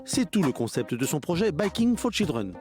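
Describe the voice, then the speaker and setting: A male reporter reads a scripted news feature with a melodic, rising and falling voice. His reading is measured and expressive. Music plays clearly beneath him. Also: fairly high pitch.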